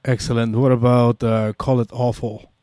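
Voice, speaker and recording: A man from Mexico City, speaking English with a Mexican Spanish accent, hosting an interview with halting, uh-filled questions. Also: mid-range voice, little pitch movement, warm tone, no echo, clean studio sound. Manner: halting, many uhs, repeats "excellent". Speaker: radio show host.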